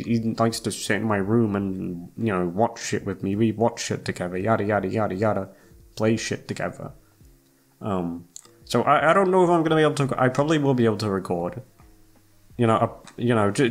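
A young man chatting casually to his listeners in a hesitant, self-deprecating way, swearing freely and trailing off. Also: on the low side. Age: young adult. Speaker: YouTube voice actor and channel host. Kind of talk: casual rambling chat to listeners. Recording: music playing behind the voice. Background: background music.

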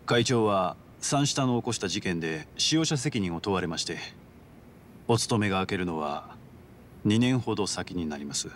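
A man's voice: low-pitched, lively intonation, slight background noise. A man voicing a polite, calculating yakuza underboss in a video game, speaking formally and with cool composure. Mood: composed, deferential. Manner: formal, courteous, measured business-like speech. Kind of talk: dramatic video game voice acting.

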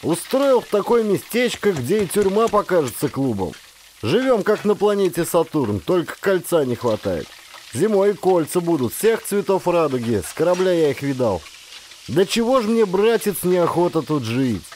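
A man's voice: high voice, big pitch swings, normal speed, darkish tone, slight background noise, voice pushed up. A man voicing a talkative, simple-hearted sailor turned cook in an audiobook, complaining with folksy humor and self-pity. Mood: wry grumbling. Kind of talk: character dialogue in an audiobook.

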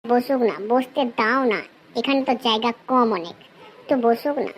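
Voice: medium pitch, animated, moderate pace, lightly acted, low-quality recording. A performer voicing a cheeky, girl-crazy male schoolboy who is too old for class, bantering in brash slang for a comedy cartoon. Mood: excited, lecherous.

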